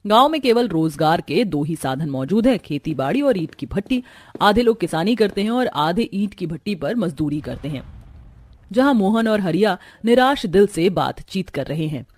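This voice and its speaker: A woman's voice: fairly low pitch, sing-song, normal speed, a little mellow, no echo. A woman reading scripted narration for a moral cartoon story as its storyteller.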